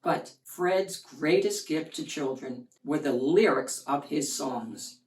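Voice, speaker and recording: Echoey, unhurried woman reading to children. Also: low voice, darkish tone. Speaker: children's book reader, host of a read-aloud channel. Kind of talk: expressive picture-book read-aloud for children. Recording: roomy, echoey, distant from the mic.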